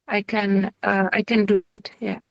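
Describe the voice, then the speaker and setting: A woman with a Czech accent, a somatic therapist giving an informal online lecture and answering questions, speaking haltingly and searching for words in a friendly way over a video call. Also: medium pitch, level tone, creaky, no echo, muffled recording.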